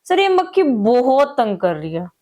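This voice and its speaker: A woman's voice: on the high side, big pitch swings, measured pace, warm tone. A young adult woman, a client in a casual chat, chatty and playful.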